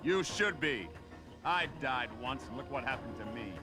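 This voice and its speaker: A man's voice: mid-range voice, expressive, normal speed, over music, natural voice. A man playing a bitter, menacing cyborg henchman in an action cartoon. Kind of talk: animated action series dialogue.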